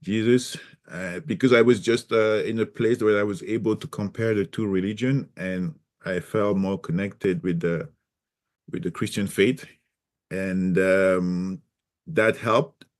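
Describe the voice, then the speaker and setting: A man raised in Cameroon, a native French speaker with a mixed French and Cameroonian accent, talking in a casual interview with many pauses and restarts. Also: on the low side, steady tone. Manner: hesitant, many fillers and restarts. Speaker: guest.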